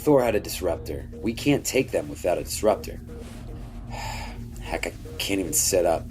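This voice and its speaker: A man playing a gravely wounded, protective space captain in an audio drama, halting, strained and weak. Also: low voice, animated, darkish tone, soft background music. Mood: urgent, weak.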